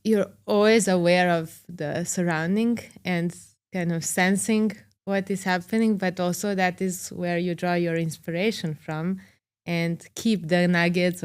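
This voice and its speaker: A young woman from Serbia hosting a podcast interview, her voice smooth and slightly low. She talks openly and relates personal stories, scattering "like" through her sentences. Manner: warm, relating personally, fillers "like" and soft laughs. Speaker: podcast host. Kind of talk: conversational interview.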